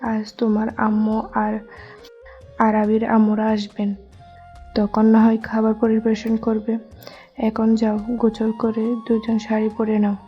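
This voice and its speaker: A woman's voice: a little high, monotone, measured pace, natural voice. A woman with a Bangladeshi accent playing a kindly middle-aged mother-in-law in an audio story, giving motherly instructions. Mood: fond, instructing. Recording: dull muffled track with faint music.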